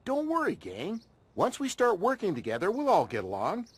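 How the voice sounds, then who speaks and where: A man's voice: high-pitched, wildly swooping pitch, normal speed, breathy edge, no echo, exaggerated voice. A man playing an earnest, wholesome teenage boy who leads a gang of sleuths in a cartoon game. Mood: upbeat, confident. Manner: wholesome, eager, rallying.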